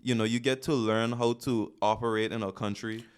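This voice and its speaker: Smooth-voiced West Indian man chatting. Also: fairly low pitch, normal speed. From Caribbean. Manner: relaxed storytelling with slang. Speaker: podcast co-host. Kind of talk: casual chat.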